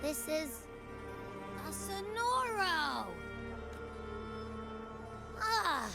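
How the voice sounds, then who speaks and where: A woman voicing a small, excitable, cheeky creature companion in a video game, chattering in a playful, childlike, informal way. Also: extremely high pitch, very slow, gravelly, over music, heavily acted. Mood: excited, chatty.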